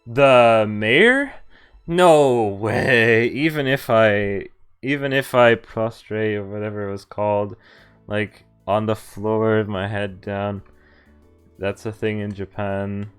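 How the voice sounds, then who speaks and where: A man's voice: on the low side, slow, a bit bright, smooth, faint music behind. A man streaming a visual novel, reading it aloud with casual commentary and some stumbles, amused asides mixed in. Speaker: game streamer.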